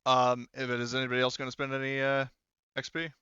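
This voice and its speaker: A man talking casually as the game master of a tabletop game, often halting and repeating words as he goes. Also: mid-range voice, completely flat delivery, sharp tone, smooth, dry recording, lo-fi sound.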